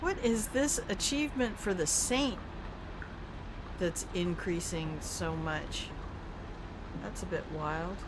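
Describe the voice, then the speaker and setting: An older woman with a somewhat low voice talks through a video game in a relaxed, rambling way, reacting aloud and wandering off into personal stories. Her is Afrikaans. A faint hiss of background noise sits under the recording. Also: slow, long pauses. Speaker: gaming streamer. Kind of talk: casual gameplay commentary.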